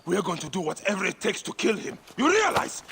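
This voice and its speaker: An actor playing a grim, grieving Egyptian warrior bent on vengeance in a video game. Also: male voice, sits high, wildly swooping pitch, fast, creaky, a bit bright, in a large hall, a little hiss or hum, heavily acted. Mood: angry, betrayed. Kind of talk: dramatic video game acting.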